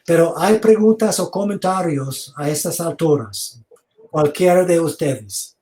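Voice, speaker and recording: Slow, halting foreign-man lecturing. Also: fairly high pitch, lively intonation, warm tone. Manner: slow and halting, repeats words, invites questions. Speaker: seminary lecturer. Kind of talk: online theology lecture. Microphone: video call.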